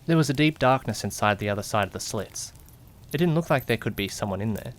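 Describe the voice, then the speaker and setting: A man's voice: on the low side, expressive, fast talker, close to an everyday voice. A man playing a curious, jittery young detective's companion who narrates a mystery audio drama with suspense and questioning asides. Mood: suspenseful, curious. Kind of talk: amateur audio drama narration in first person.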